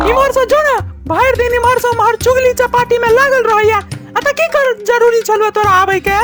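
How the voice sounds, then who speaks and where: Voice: very high voice, fairly even intonation, moderate pace. An actor voicing a brash, pushy young village boy in a comic cartoon, gossipy and taunting, speaking with a Maithili accent. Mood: annoyed. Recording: music behind the voice.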